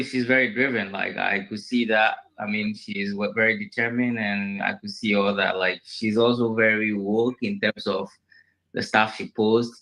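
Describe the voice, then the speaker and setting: A man from Ghana answering interview questions on a live show, measured and a bit halting. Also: fairly low pitch, a bit bright, low-quality recording.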